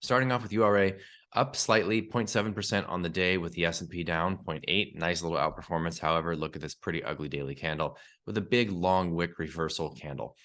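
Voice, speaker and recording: A man with a very deep voice walks listeners through a market update podcast, sounding stuffy and congested from a cold. He keeps an even, analytical delivery and drops in the odd "uh" as he goes. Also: fairly quick. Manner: steady analytical delivery with fillers. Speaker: podcast host and newsletter publisher.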